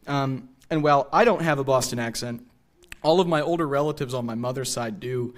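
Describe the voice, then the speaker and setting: Young American man lecturing, medium pitch. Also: brisk. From New England, USA. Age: young adult. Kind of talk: public lecture with casual asides. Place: theater stage. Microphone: podium microphone.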